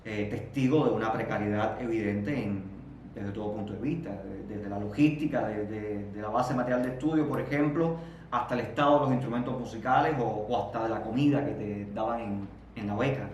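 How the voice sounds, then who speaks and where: Man, 30s, Cuban accent, halting repeated words, distant mic, very echoey room. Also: fairly low pitch, darkish tone, dull audio, slight background noise. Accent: Cuban Spanish. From Cuba. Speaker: interview guest. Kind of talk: reflective interview conversation.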